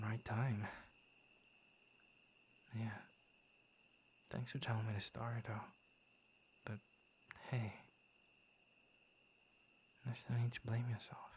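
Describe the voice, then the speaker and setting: A man playing a gentle, shy young werewolf in an intimate ASMR roleplay, speaking softly and breathily, often near a whisper, with hesitant stammers. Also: on the low side, a little hiss or hum. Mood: soothing, sympathetic. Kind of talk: ASMR roleplay, intimate soft-spoken acting.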